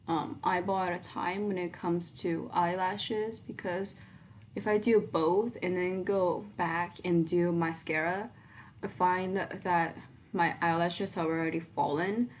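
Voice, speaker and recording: Teen girl doing her makeup on a webcam, slow rambly talk, kinda low voice, faint room hum. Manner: chatty, rambling, many ums and likes. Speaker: beauty vlogger. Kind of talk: casual makeup tutorial chat. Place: small bedroom indoors.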